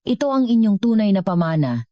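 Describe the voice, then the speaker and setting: A woman's voice: medium pitch, big pitch swings, brisk, dark tone, no room sound, pitched above the performer's own voice, lightly acted. A woman voicing an affectionate, grateful adult daughter, tender and earnest, in a storybook reading. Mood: heartfelt pride. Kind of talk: scripted storybook dialogue.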